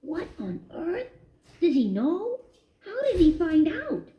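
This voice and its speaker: A woman voicing a young boy mouse who is greedy and later guilty, with a high squeaky voice and a stammer. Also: huge pitch swings, measured pace, roomy sound, heavily acted. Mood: flustered. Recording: dull lo-fi recording.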